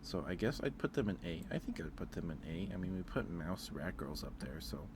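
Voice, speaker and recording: A man's voice: low-pitched, big pitch swings, brisk, noisy background. A man chatting casually on an ASMR livestream, rambling hesitantly and repeating his phrases, close to the microphone.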